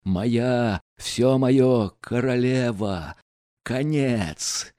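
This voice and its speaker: Reader guy doing a rowdy young man yelling, rough and wild, mellow dry tone. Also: medium pitch, very slow, no echo. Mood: wild shouting. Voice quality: loud, shouting.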